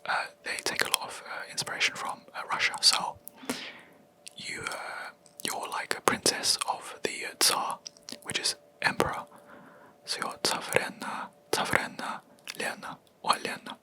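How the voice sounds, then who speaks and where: A young adult video creator, whispering a rambling, hesitant gaming chat close to the mic in a quiet room. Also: a little slow, rough recording. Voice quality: soft unvoiced whisper throughout. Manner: rambling, hesitant, with many ums and self-corrections.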